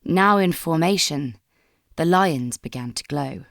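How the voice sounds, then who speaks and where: Low-voiced woman narrating dramatic fiction. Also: expressive, a little slow, vocal fry, a bit bright, no echo, studio quality. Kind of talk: scripted dramatic story narration.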